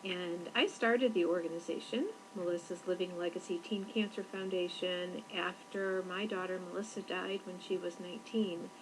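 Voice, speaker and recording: Middle-aged female founder, steady personal answers, lower pitch, clear hiss behind. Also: moderate pace, few pauses. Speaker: nonprofit founder. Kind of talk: interview answers. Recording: noticeable background hiss.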